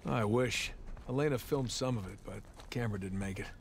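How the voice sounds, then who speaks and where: A man's voice: fairly low pitch, a little fast, gravelly, a little hiss or hum. A man playing a wry, easygoing treasure-hunting adventurer in a video game, speaking casually with an American accent. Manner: casual, wry, hesitant when improvising. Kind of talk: naturalistic video game acting. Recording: background music under dialogue.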